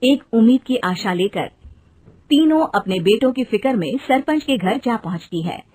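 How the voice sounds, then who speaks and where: A woman's voice: fairly high pitch, lively intonation, moderate pace, a little mellow, husky, dry recording. A woman narrating a scripted cartoon story as the storyteller. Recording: music behind the narration.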